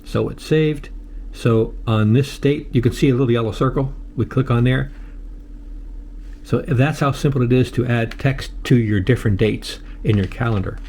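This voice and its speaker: An older American man giving a casual, unscripted tutorial in a halting, plain-spoken way, often repeating himself. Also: medium pitch, mellow, slight background noise. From United States. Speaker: host of a how-to video channel.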